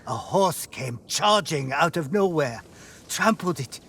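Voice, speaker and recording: An earnest fugitive islander in a game cutscene, with a fairly high, lively voice. His delivery is expressive and takes its time. Faint ambience runs underneath. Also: slow pace, creaky, slight background noise, full character voice. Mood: grateful. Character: shaken, grateful male islander on the run. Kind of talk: video game cutscene acting.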